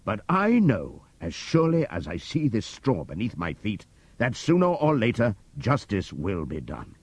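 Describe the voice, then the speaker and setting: Old boar rebel leader, read by a male narrator: husky, low, wildly swinging pitch, close and dry. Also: huge pitch swings, normal speed, no room sound, exaggerated voice. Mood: impassioned. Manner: impassioned oratory, sweeping from loud appeals to hushed asides. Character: old prize boar, wise, rousing orator. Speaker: audiobook reader. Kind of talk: dramatic audiobook reading.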